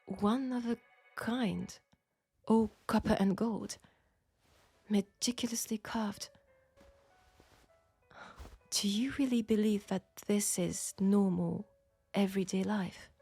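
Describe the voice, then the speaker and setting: A woman playing a candid, proud French seamstress in a steampunk audio drama, speaking with a French accent in a formal, deliberate way. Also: mid-range voice, steady tone, vocal fry, mellow, husky, no echo, soft background music, heavily acted. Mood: reproachful, candid. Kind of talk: intimate scripted audio roleplay.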